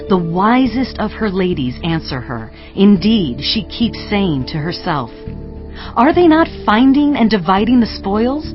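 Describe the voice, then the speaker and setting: A woman narrator giving a dramatic, poetic and expressive recitation of scripture. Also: medium pitch. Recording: dull lo-fi audio, faint music. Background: music.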